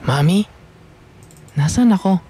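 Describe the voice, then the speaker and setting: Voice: medium pitch, lively intonation, slow pace, warm tone, a little hiss or hum. A performer playing a hot-tempered, wounded young adult in a radio drama, demanding answers in a confrontational manner. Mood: weak, subdued. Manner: confrontational, demanding, slips between Tagalog and English.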